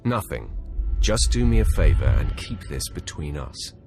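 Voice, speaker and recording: A man's voice: very deep voice, big pitch swings, moderate pace, creaky, full character voice. An actor playing a self-assured male Sith acolyte in a video game, terse and controlled, with music underneath. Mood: cool. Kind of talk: scripted video game drama.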